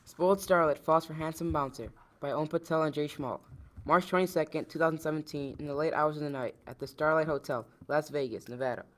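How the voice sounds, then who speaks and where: A mid-pitched voice reads scripted news copy and statements. The delivery moves along very quickly. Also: a bit bright. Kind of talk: quick scripted reading of news lines and quotes.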